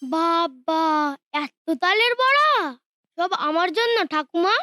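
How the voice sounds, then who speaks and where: A woman's voice: extremely high pitch, animated, takes it slowly, bright, forceful tone, a little hiss or hum, full character voice. A woman voicing a young boy who pleads with his mother and grandmother in a cartoon. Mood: excited.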